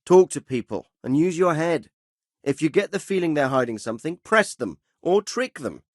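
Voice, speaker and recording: A man playing a measured, fatherly but stern middle-aged medieval lord in a video game, speaking formally and with authority. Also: fairly high pitch, sing-song, no echo, clean studio sound. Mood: stern, urging. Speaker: lord giving orders and counsel. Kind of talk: dramatic video game dialogue.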